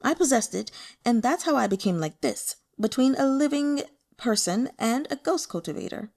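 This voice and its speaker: A woman plays a frightened boy of eighteen in a fantasy tale. He sounds flustered and pleading, with a fairly high voice that jumps around a lot. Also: big pitch swings, moderate pace, darkish tone. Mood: nervous explaining. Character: frightened eighteen-year-old boy, secretly a scheming ghost cultivator.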